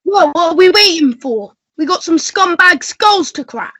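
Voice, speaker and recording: A gung-ho, hot-headed police constable acted by a woman in a radio play. The voice is high and close, dry of any room, with tough talk. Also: lively intonation, moderate pace, a bit bright, dry recording. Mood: aggressive, itching. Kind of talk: melodrama radio play acting.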